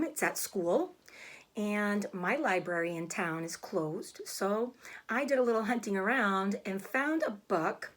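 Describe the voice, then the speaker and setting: Woman, schoolteacher, medium pitch, simple explanations for children, read-aloud style.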